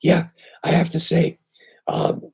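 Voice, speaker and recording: A man with a hoarse voice still weak after surgery, chatting casually and rambling on a live stream about his knife shop. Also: on the high side, completely flat delivery, takes it slowly, a little mellow. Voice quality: very raspy, breaking into breathy near-whisper. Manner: rambling, easygoing, filler-heavy.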